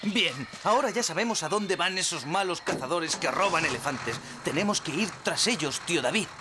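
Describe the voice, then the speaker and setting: Cartoon young gnome, male actor: high, lively, eager and earnest, music loud behind. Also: moderate pace, noisy background, close to an everyday voice. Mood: earnest concern. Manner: earnest, excitable. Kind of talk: cartoon dub acting.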